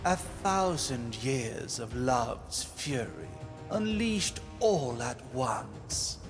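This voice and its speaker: A man's voice: mid-range voice, very animated, over music. A man playing a wistful, theatrical storyteller, narrating a visual novel in a lyrical scripted style. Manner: lyrical, deliberate.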